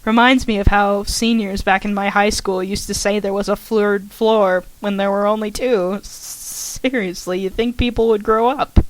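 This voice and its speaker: A shy, daydreaming college girl, soft-spoken and a bit hesitant as a woman reads her lines. Her voice sits at an ordinary middle pitch, close to the mic in a dry space. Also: steady tone, normal speed, hardly any pauses, no room sound, lightly acted, rough recording.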